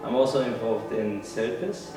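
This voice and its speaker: A man's voice: on the low side, normal speed. Echoey Moroccan man, hesitant speech. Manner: hesitant, many fillers, searches for words. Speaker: interviewee. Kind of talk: oral history interview. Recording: very echoey room, distant mic, faint music.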